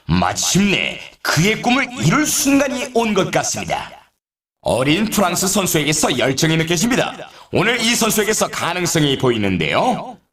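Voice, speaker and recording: An excitable male snowboard announcer for a video game, pitched high with big swings in intonation. He delivers scripted race commentary with showy, dramatic flair. The sound is roomy and echoing. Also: normal speed, crowd noise. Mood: dramatic, admiring. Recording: roomy echo, slightly distant mic.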